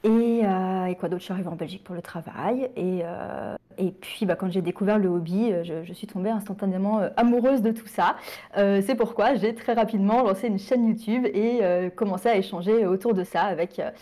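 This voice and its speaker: A French woman in her late twenties, a guest chatting casually and filling pauses with "euh". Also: mid-range voice, dark tone. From France. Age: 20s. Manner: chatty, with frequent fillers. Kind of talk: casual interview chat.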